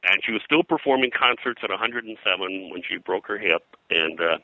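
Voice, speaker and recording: Older American male interviewee, low-pitched and very sharp in tone, anecdotal and meandering, casual reminiscence. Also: no echo, rough recording. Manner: rambling, anecdotal, halting mid-sentence. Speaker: interview guest, comics colorist and radio historian.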